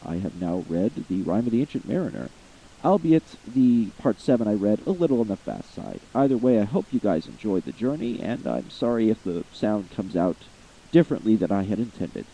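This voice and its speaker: A man's voice: lowish voice, sing-song, fairly quick, vocal fry, dark tone, a little hiss or hum, poor audio. A man giving a theatrical reading of an old narrative poem as voice-acting practice. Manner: theatrical, swooping delivery of verse. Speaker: amateur reader. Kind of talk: dramatic poetry reading.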